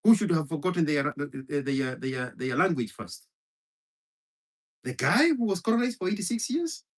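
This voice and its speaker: A man's voice: on the high side, big pitch swings, normal speed. A man from Kenya, a Kikuyu speaker, delivering a heated, profane monologue full of hammering repetition. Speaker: commentator. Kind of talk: impassioned monologue.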